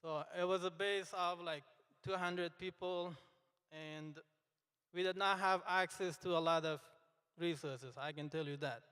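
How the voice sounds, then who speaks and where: A young adult man from Afghanistan, a native Dari speaker, giving an earnest commencement speech in English with halting restarts in a large hall. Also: on the high side, fairly even intonation, bright, forceful tone, clean tone. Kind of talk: speech to graduates. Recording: echoey hall, long reverb.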